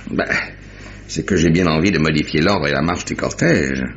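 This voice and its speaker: A man's voice: rumbling low, moderate pace. An actor playing a jovial, decisive male detective in charge, wry and familiar, in old-fashioned French radio drama style. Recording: dull old radio recording.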